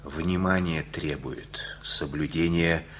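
A man's voice: very deep voice, slow, a bit bright, slight background noise, exaggerated voice. A man acting a stern, anxious father in a radio play, speaking in a weighty, moralizing way. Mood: gloomy, stern. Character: stern, anxious father fretting over his wayward daughter.

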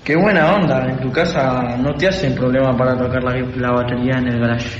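A man's voice: medium pitch, fairly even intonation, a little fast, close to an everyday voice. A young man playing an earnest teenage boy who longs to play guitar, in an amateur radio drama. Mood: friendly. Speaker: student actor in a school radio drama. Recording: echoey hall, muffled, faint noise.

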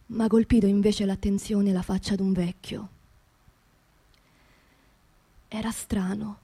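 An actress playing an angry, grieving working-class widow in a radio monologue, speaking colloquial Roman dialect in a confiding, impassioned way with repeated phrases. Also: female voice, fairly high pitch, darkish tone, lightly acted. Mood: hushed, reflective.

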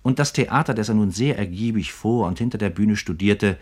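Very deep-voiced formal male narrator. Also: a little fast, darkish tone, slightly rough. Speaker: narrator of a literary radio programme. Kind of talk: scripted documentary narration, 1950s German radio.

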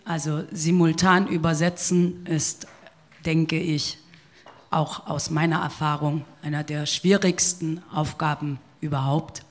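Panelist, woman, former interpreter; low voice, slow, careful delivery. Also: fairly even intonation. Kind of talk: panel talk.

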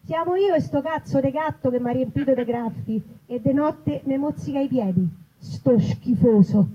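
A woman's voice: high voice, moderate pace, mellow, husky. A woman voicing an exasperated, coarse Roman woman grumbling on the phone, in a live story reading. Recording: dull, noisy live event recording.

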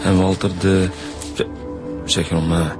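A man's voice: low-pitched, flat delivery, measured pace. A man playing a rambling, musing police detective in a radio drama. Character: chatty Flemish police detective. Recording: radio play with background music.

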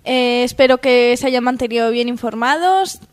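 Comedy radio newsreader: female, high register. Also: normal speed. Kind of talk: scripted mock news bulletin, then group banter.

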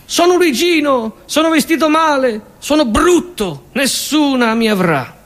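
A man's voice: very high-pitched, animated, measured pace, a bit bright, in a large hall, voice pushed up, heavily acted. A man playing a vain, self-pitying male would-be seducer in a stage comedy, declaiming in short repetitive statements.

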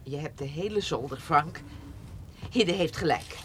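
A woman's voice: sits low, animated, unhurried. Firm old grandmother. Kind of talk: radio drama acting.

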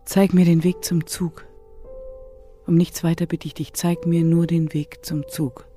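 An actress plays a reluctant widow in a radio drama, with a dark, mellow voice over faint music. She sounds uneasy and guarded, questioning and insisting. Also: on the low side, level tone, a little slow, lightly acted. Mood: insistent. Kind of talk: German radio play acting.

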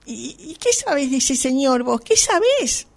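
A woman's voice: high voice, sing-song, a little slow, gravelly, exaggerated voice. A woman with an Argentine accent playing a lovestruck, put-upon sister in an amateur radio drama, pleading, whiny and self-dramatizing, repeating words insistently. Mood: indignant.

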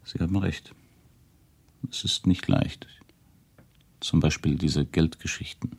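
Radio drama investigator, extremely low male voice, mellow, measured, puzzled but persistently inquiring. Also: fairly even intonation, gravelly, slightly rough, heavily acted. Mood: conceding. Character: puzzled, persistent male researcher questioning old witnesses. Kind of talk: 1970s German radio drama dialogue.

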